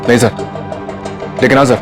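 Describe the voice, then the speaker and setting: A man's voice: medium pitch, level tone, normal speed, lightly acted. An actor playing a dutiful junior police officer in a TV drama, a man with an Indian accent reporting respectfully and plainly. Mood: serious, reporting. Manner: matter-of-fact, respectful. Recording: loud background music under dialogue.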